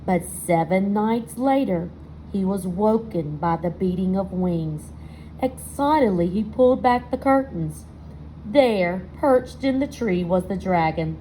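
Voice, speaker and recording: A woman reading a picture book aloud to children, expressive in her storytelling and chatting to her young listeners with questions. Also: medium pitch, slow, slight background noise, poor audio. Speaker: storybook reader.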